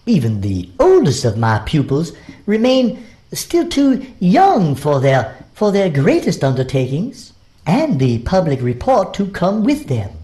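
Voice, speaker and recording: Audio drama schoolteacher, female actor: dignified and commanding, low register, hugely varied, oratorical delivery. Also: warm tone. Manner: formal, stately, oratorical.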